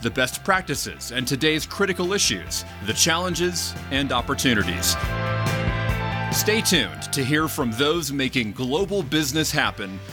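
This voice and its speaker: A man reading a scripted show introduction in a lively announcer style. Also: medium pitch, measured pace. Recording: over loud guitar music. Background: loud guitar music.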